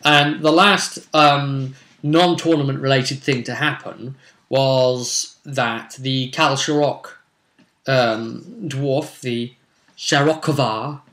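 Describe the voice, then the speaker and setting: A man's voice: mid-range voice, fairly even intonation, takes it slowly, fairly bright tone. A man casually recapping a tabletop role-play session as game master, unscripted and conversational, wandering off into asides. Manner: rambling, conversational, with many asides.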